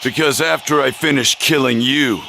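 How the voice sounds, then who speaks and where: Gravelly, menacing demon king villain. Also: medium pitch, normal speed, a little hiss or hum, low-quality recording. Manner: threatening, grandiose, sneering. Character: menacing green demon king reborn, male martial artist villain. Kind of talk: anime video game dub acting. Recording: loud music behind voice.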